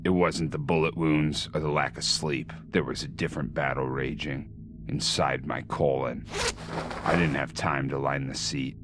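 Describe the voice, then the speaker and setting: An actor playing a grim, vengeful vigilante who narrates a video game in a hard-boiled, deadpan, menacing style. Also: male voice, a bass voice, moderate pace. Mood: deadpan, solemn. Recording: music under the voice.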